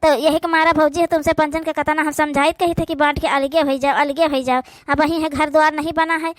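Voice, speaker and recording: A very high, closely recorded voice with an Awadhi accent opens up this meddling young sister-in-law played by a woman. She is a gossipy cartoon schemer who presses her point insistently to stir up family quarrels. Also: flat delivery, brisk, no room sound, clean studio sound, lightly acted. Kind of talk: village cartoon dialogue.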